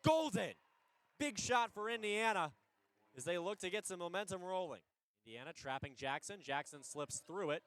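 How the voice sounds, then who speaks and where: A man giving live radio play-by-play of a basketball game in an energetic, descriptive way, lifting his voice on big plays. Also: on the high side. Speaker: play-by-play radio sports announcer.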